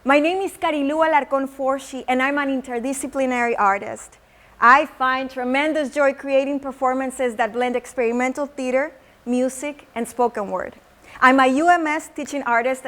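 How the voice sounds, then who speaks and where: A woman's voice: sits high, fairly bright tone. A woman from Mexico with a Mexican accent, performing spoken word poetry and teaching how it is made. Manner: slow, measured poetic delivery with Spanish words. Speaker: teaching artist.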